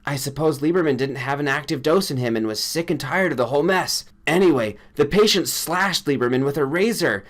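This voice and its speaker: A man's voice: a little high, lively intonation, fairly quick, hardly any pauses, a bit bright, voice pushed up. Agitated man, raised urgent voice, acted by a narrator. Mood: alarmed. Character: agitated male colleague bringing grim news.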